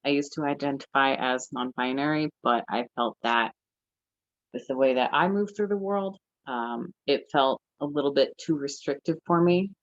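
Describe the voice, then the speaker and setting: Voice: mid-range voice, normal speed, no room sound, lo-fi sound. A nineteen-year-old panelist telling their own story in an earnest, chatty way, full of fillers. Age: teens. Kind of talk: casual personal storytelling. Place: library event room.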